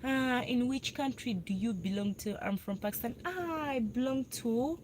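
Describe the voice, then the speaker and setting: A woman's voice: fairly high pitch, moderate pace, darkish tone, slight background noise, low-quality recording. A young Ugandan woman hosting a casual live video about breastfeeding. She talks to her viewers, answers their comments and repeats herself a lot for emphasis. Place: parked car.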